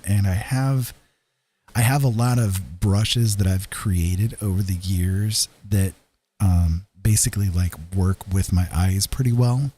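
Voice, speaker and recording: Male streamer, low and very mellow, husky texture, casual late-night chat kept soft. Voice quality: deep, husky. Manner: soft-spoken, holding his voice down so as not to wake someone. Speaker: livestreaming digital artist, former radio talk show host. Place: room at home. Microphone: podcast microphone through a mixer, at a desk.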